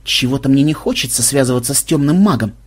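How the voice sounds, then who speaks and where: A narrator voicing a sharp-tongued, suspicious female dark elf, mocking and snappish, in a fantasy audiobook. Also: medium pitch, lively intonation, fast talker, slightly rough. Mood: uneasy.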